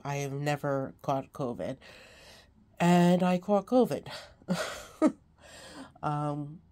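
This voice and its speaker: Low, stuffy-nosed woman vlogging while sick; rambling, casual, lots of fillers. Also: mellow. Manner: rambling, many ums, laughs between lines. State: congested, sick. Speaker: craft vlogger. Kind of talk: casual chat to camera.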